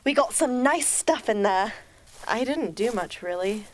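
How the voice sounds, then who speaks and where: A woman's voice: on the high side, expressive, measured pace, rough recording. A woman playing a guarded, self-doubting teenage girl soldier in an amateur radio drama. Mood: downbeat.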